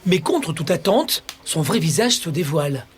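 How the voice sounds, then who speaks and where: A man narrating a reality show in a scripted, smooth, dramatic way. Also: fairly high pitch, expressive, moderate pace, faint music behind.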